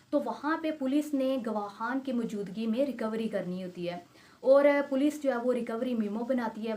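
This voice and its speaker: A woman lecturer speaks in a fairly high voice with a Pakistani accent. She explains in a didactic style, restating each point and working through examples. English technical terms are mixed into her sentences. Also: a little mellow. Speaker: law lecturer.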